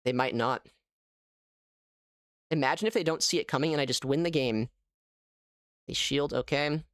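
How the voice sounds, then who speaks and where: A man giving casual live gameplay commentary as a streamer, thinking aloud in an animated way. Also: mid-range voice, normal speed, no room sound, studio quality. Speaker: gaming streamer.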